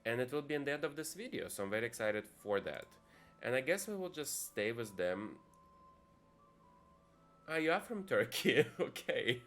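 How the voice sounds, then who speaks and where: A man's voice: mid-range voice, big pitch swings, moderate pace, pauses often, fairly bright tone. A man from Italy chatting casually and thinking aloud while streaming a life-sim game to viewers. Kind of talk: casual live-stream gameplay commentary.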